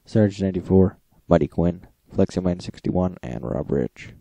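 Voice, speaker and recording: A man with a deep, very muffled-dark voice hosts an informal logging recap. He reads out lists plainly, with an occasional aside, and the sound is close and dry. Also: animated, moderate pace, gravelly, breathy edge, no room sound. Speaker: host of a radio logging recap. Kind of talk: informal recap reading out logs.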